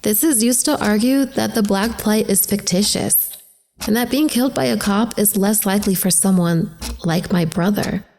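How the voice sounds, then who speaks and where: A woman's voice: mid-range voice, normal speed, a little mellow, natural voice. An actress playing a troubled young woman in a reflective, questioning dramatic inner monologue. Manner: reflective, rhetorical, searching. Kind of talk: dramatic introspective monologue voice-over. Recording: voice-over over prominent music. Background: music.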